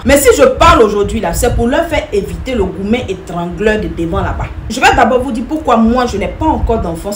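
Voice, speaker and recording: A woman, giving direct advice to viewers in an emphatic, repetitive way. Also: on the high side. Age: 30s. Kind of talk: direct-to-camera opinion talk. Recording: echoey room with faint noise.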